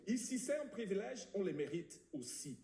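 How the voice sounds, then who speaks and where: A man's voice: sits high, very animated, normal speed, vocal fry, dark tone. A man in his thirties from Brazil, speaking French, pleading earnestly at a press conference and often hesitating and restarting. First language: Portuguese. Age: 30s. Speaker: immigrant giving testimony at a press conference. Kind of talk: emotional public testimony. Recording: echoey room.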